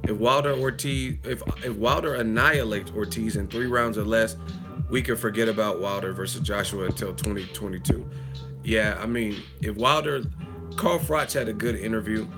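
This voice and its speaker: A man hosting a live boxing commentary stream, hoarse from hours of talking, rambling loosely and profanely with lots of fillers. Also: fairly low pitch, moderate pace, music in the background. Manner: rambling, loose and profane, with many fillers like "you know what I mean". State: hoarse, losing his voice.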